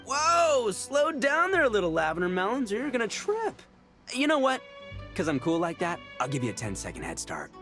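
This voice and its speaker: A man's voice: high voice, wildly swooping pitch, sharp tone, heavily acted. An actor playing a cocky, playful young male oni in a video game, with a swaggering, teasing way of talking. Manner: swaggering, teasing, drawn-out casual slang. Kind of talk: animated video game character acting. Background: game music.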